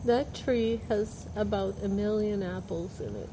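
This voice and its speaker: Slow-talking woman chatting outside. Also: medium pitch. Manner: slow, earnest, repeats herself. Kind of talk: casual chat. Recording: outdoor noise behind.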